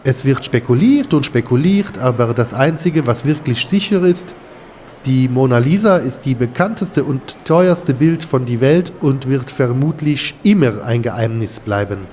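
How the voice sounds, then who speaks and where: An actor playing a courteous, enthusiastic French museum guide with a French accent, speaking deliberately and searching for words. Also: male voice, mid-range voice, lively intonation, a little mellow, natural voice. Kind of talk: German audio drama, guided museum tour. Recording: reverberant hall with faint ambient noise.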